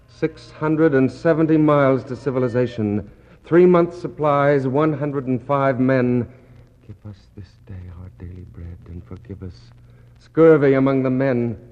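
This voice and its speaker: A man acting a despairing British naval captain in a 1960s radio play, declaiming in anguished, bitter soliloquy that swings between hushed prayer and outcry. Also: mid-range voice, lightly acted. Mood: solemn, prayerful. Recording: dull, noisy 1960s radio recording.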